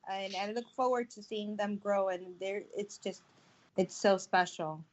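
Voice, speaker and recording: A woman in her thirties from Miami speaks as a doctor being interviewed. She answers conversationally in a mid-pitched voice, and the recording is a little dull and band-limited. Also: fairly even intonation, a little slow. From Miami, United States.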